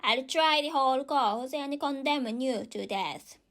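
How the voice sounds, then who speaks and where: A woman's voice: high-pitched, measured pace, a bit bright. A woman voicing a cunning, bossy old prosecutor in storybook verse, menacing, with a non-native English accent.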